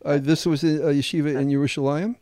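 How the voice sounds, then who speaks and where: Mellow-voiced radio host, full of "uh"s. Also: male voice, fairly high pitch, steady tone, fast talker, dry recording. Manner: hesitant, many filler "uh"s. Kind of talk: radio interview.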